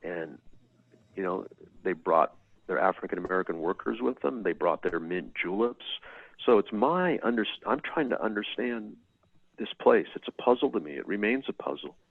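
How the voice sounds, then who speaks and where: A man's voice: fairly low pitch, expressive, normal speed, gravelly, dry recording. A middle-aged man from California, an author answering interview questions thoughtfully, often repeating words and restarting his sentences. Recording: dry tinny video-call audio.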